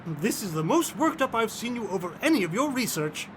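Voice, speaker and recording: A loyal, wary gentleman in a radio play. His voice is high and swings expressively through formal, wordy lines, with some noise behind him. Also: sing-song, fairly quick. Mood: curious, supportive. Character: loyal, educated gentleman, the scientist's wary best friend. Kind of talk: theatrical radio drama acting.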